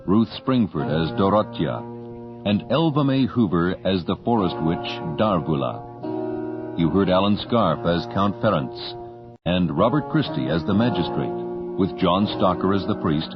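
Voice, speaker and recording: A man reading closing credits as a formal, scripted radio broadcast announcement. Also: sits low. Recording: dull 1980s radio recording with music. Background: plucked guitar music.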